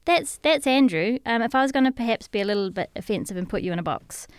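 Woman, New Zealand accent, podcast host, smooth clear voice, dry studio sound. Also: a little high, lively intonation, quick pace, no room sound. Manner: chatty, friendly and teasing. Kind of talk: podcast interview.

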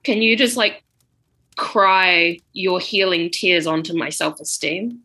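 Comedy sketch: oblivious old wizard headmaster woman performing, bright-toned, casual, chatty, stumbles over words. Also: on the low side, slow pace, a little hiss or hum. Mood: shaken. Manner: stammering, informal, slangy. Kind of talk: improvised comedy audio sketch.

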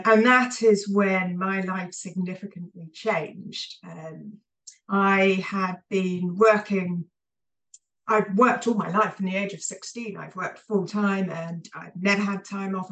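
A woman's voice: mid-range voice, fairly bright tone, distant mic, low-quality recording. A woman in her forties with a southern English accent, chatting casually and telling her story as a podcast guest. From southern England.

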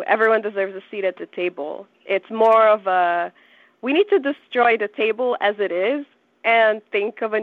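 A woman's voice: mid-range voice, no echo. A woman from Brazil, a journalist and researcher, answering interview questions in an earnest, thoughtful way, building her points with restarts. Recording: tinny phone line.

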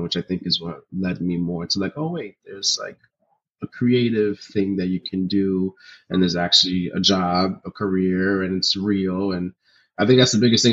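A young man from New Jersey, a podcast guest, talks in a loose, rambling way and pads his sentences with "like" and "you know." His voice is bright and a little husky. The sound is muffled and lo-fi. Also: deep voice. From New Jersey, USA. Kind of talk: casual interview chat.

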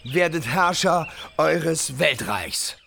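A man's voice: a little high, animated, slow, gravelly, sharp tone, heavily acted. A man playing a slick, self-promoting influencer entrepreneur in a satirical audio drama, bragging and flirty, dropping English buzzwords. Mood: hyped, boastful. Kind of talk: satirical podcast radio drama.

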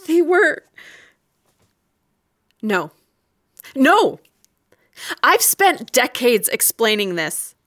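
An actress playing a wronged, weary wife in an audio drama, speaking in a deliberate, accusing way, grave and resolute. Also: female voice, on the high side, very animated, a bit bright, exaggerated voice. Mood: indignant.

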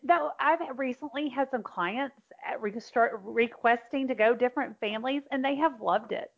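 A woman with a raspy, creaky voice pitched a little high joins a podcast chat. She sounds skeptical and teasing, and the audio is slightly muffled. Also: moderate pace.

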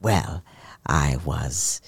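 A man voicing a male Frankenstein-style monster with a very low voice, speaking slowly and deadpan with overly proper wording. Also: creaky, slightly rough, dry recording, studio quality, exaggerated voice. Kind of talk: improvised comedy podcast character.

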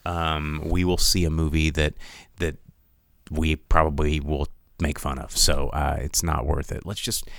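Smooth-voiced, rambling male podcaster. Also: very deep voice, unhurried, dry recording, clean studio sound. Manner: rambling, animated, sarcastic asides. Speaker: podcast host. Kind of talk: casual solo commentary.

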